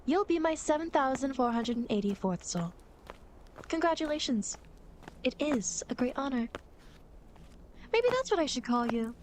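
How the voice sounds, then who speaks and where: A woman's voice: high-pitched, big pitch swings, normal speed, pauses often, a little mellow, slight background noise. A woman playing a playful, vain female demon who guides souls through the afterlife in an ASMR roleplay, teasing, smug and mock-indignant. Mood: wry, explanatory.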